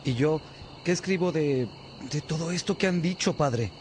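An actor playing a diligent, respectful monk who works as a scribe in a religious radio drama, explaining things carefully. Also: male voice, fairly high pitch, moderate pace, a little mellow, a little hiss or hum, natural voice. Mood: dutiful. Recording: faint music behind the voice.

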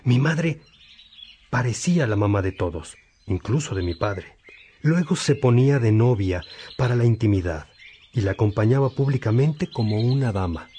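A man playing a priest fondly and reflectively recalling his parents in a radio drama, speaking in a measured way. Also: medium pitch, animated, mellow, lightly acted. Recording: faint noise, birdsong ambience. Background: birdsong.

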